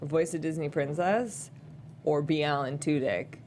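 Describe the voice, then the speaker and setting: Giggly, talkative female co-host of a movie chat show; low-pitched, slight hiss. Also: a little slow, a little mellow. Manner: chatty, giggly, talks over others. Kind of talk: casual group chat about movie news.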